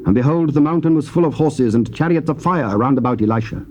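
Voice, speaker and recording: A man narrating scripture in a measured, stately reading. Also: on the high side, darkish tone. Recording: faint tape hiss.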